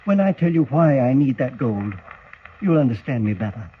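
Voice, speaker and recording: A man's voice: mid-range voice, big pitch swings, moderate pace, natural voice. An older scientist acted by a man from a 1940s radio drama. He speaks with dramatic, idealistic fervour and grave emphasis. The vintage recording is very tinny, with some hiss. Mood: earnest, emphatic. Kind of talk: 1940s radio adventure serial acting.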